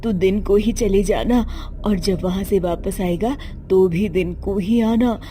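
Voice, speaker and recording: Woman playing a protective, fretting mother, slow-paced. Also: medium pitch, dark tone, a little hiss or hum, voice pushed down, close to an everyday voice. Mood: worried, insistent. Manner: cautioning, insistent. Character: worried, protective mother of a grown son.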